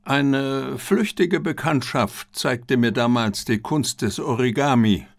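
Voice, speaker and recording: A man's voice: medium pitch, slow pace, vocal fry, voice pushed up. A man voicing an unruffled, self-assured detective in an audiobook, explaining things with playful confidence. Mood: breezy, unconcerned. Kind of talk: audiobook character dialogue.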